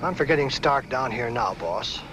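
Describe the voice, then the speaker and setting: A man acting a loyal but anxious detective's assistant in a 1950s noir film. He has a plain, colloquial middle-pitched voice, with faint music underneath. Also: lively intonation, normal speed, highs cut off, natural voice. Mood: worried. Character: loyal, worried sidekick to a private detective.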